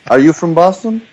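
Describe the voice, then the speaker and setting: A stammering, apologetic traveler who is lost on his way to a wedding, played by a man at a tabletop game. He talks slowly, trips over his words and laughs nervously. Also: fairly high pitch, husky, pitched above the performer's own voice, exaggerated voice, rough recording. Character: hesitant lost young man arriving for a wedding. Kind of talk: playing a character in a tabletop game.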